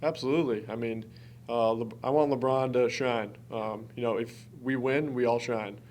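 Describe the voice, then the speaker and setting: A man's voice: mid-range voice, fairly even intonation, normal speed, a little mellow, slight background noise. A young man from Wisconsin, a college basketball player, answering press conference questions in an earnest, informal way. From Wisconsin, United States. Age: early twenties.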